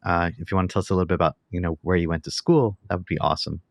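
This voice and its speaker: A man's voice: deep voice, steady tone, very fast, creaky, dry recording. A man hosting a podcast, enthusiastic and casual, with lots of "like" and "you know". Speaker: podcast host.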